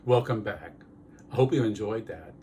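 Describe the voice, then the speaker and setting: A man's voice: lowish voice, expressive, normal speed, very noisy. A man working as presenter, introducing poetry readings and guests to viewers. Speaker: presenter and archivist.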